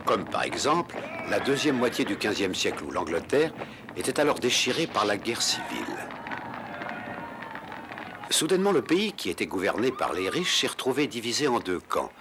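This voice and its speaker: A man reading scripted storybook narration for an animated historical adventure film. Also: on the low side, normal speed, background noise. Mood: storytelling. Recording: music under the voice.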